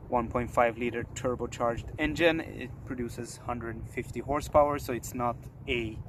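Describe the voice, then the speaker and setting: A man's voice: medium pitch, fairly bright tone. A young man with a Swedish accent, chatting casually and upbeat about his new car in a vlog. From Sweden. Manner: chatty, upbeat, self-deprecating asides. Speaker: car vlogger. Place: outdoors at a fuel station and by a car. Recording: outdoor wind and ambient noise.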